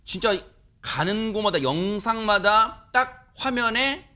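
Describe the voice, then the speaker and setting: Male news talk host, lively and quick to react, pitch swinging all over. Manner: animated, reacts often and throws questions to panelists. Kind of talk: moderating a panel discussion. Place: TV studio.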